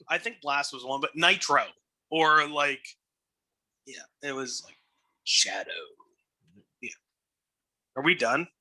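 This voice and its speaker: A man in his thirties with an American accent and a high, extremely bright, forceful voice. He co-hosts a podcast with casual banter, needling his partner and swearing freely. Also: slow pace, pauses often, no room sound. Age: 30s. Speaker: podcast co-host.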